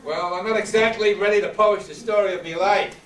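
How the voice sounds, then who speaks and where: Man acting a high-voiced cocky noir wise guy. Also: fairly even intonation, fairly quick, close to an everyday voice. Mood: cocky, teasing. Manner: slangy, sardonic. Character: cocky, flirtatious male noir wise guy, money-hungry. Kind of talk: 1950s radio noir acting on stage. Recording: echoey room, distant mic, background noise.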